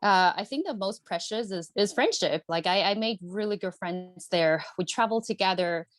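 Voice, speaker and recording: A woman's voice: mid-range voice, moderate pace, dry recording. A woman, chatting earnestly as an interview guest, with lots of fillers and repeated words. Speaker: guest, MBA alumna. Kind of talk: casual interview chat.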